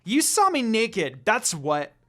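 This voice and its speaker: A kind young priestess, played by a narrator, talks with lively, swinging pitch. She is an earnest, outspoken woman, easily flustered, in a fantasy tale. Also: takes it slowly, full character voice. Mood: embarrassed, indignant.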